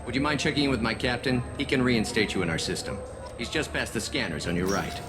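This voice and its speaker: A man playing a polite, hesitant security guard in a video game, speaking in a halting, apologetic way. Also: mid-range voice, over loud music, lightly acted. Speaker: security sergeant character.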